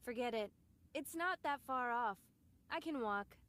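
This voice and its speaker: A woman's voice: a little high, lively intonation, a little slow, a bit bright. A woman voicing a kind, reserved and steadfast female soldier in an English-language video game. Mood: firm, polite.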